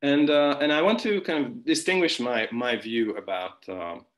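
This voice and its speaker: A man's voice: mid-range voice, moderate pace, fairly bright tone. A man whose first language is Hungarian, giving an online philosophy conference talk with many restarts, fillers and wry asides. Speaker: philosophy lecturer.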